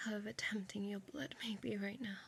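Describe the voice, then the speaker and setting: A woman playing an ancient, seductive and possessive female vampire in an intimate audio roleplay, speaking in a breathy, teasing whisper close to the mic. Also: medium pitch, steady tone, fairly bright tone, exaggerated voice. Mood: soothing, menacing. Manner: slow, drawn-out, teasing delivery.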